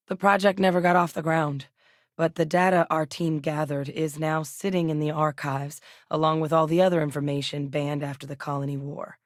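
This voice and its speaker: Actress as a composed, low-voiced woman scientist. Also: no room sound, clean studio sound, close to an everyday voice. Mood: calm, instructive. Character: composed, earnest, duty-minded woman scientist in a space game. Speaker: video game voice actress. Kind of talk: scripted game character dialogue.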